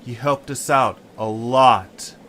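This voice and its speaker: A man's voice: mid-range voice, takes it slowly, fairly bright tone, slight background noise. A man voicing a polite, earnest young adventurer in an amateur audio drama, speaking hesitantly.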